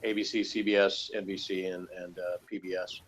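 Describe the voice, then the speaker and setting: A man's voice: deep voice, flat delivery, brisk, dull audio, a little hiss or hum. A man from Texas chatting casually and unscripted as a co-host, rambling and correcting himself with a dry joking manner. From Texas, United States. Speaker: podcast co-host reviewing a TV episode.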